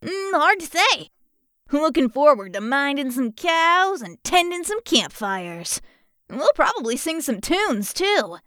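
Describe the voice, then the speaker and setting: Woman voicing an excitable, drawling would-be cowboy. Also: high voice, takes it slowly, no room sound, clean studio sound, pitched above the performer's own voice, full character voice. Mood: content. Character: excitable cowboy-obsessed male birthday boy, boisterous and cheerful. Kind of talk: children's audiobook character voice.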